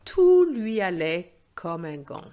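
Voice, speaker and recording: Slow, expressive woman storyteller. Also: lowish voice, lo-fi sound. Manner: deliberate, carefully phrased, expressive. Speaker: language teacher and storyteller. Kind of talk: scripted storybook narration for learners.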